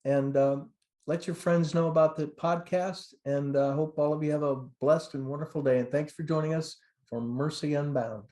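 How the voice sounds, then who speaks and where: A man's voice: fairly high pitch, fairly even intonation, moderate pace, dark tone. A man hosting a podcast interview, friendly, with brief affirmations. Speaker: podcast host.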